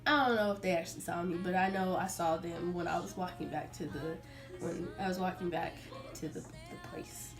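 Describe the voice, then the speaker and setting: A young adult American woman telling a personal story casually to her viewers in an informal, animated way. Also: lowish voice, a little fast, over music. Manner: chatty, informal, animated retelling with acted-out quotes. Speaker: vlogger.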